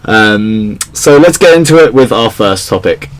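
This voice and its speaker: A man's voice: on the low side, lively intonation, measured pace, poor audio. A man hosting a podcast in a casual discussion, giving his opinions in an analytical way. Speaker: podcast host.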